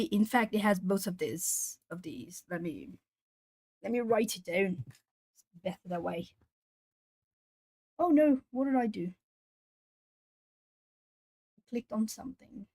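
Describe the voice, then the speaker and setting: A woman's voice: mid-range voice, darkish tone, no room sound. A woman from Brazil, a native Portuguese speaker with a southern Brazilian accent, chatting casually with viewers and reading their comments aloud while she colours. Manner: chatty, reads chat comments aloud, pauses to think. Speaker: art streamer.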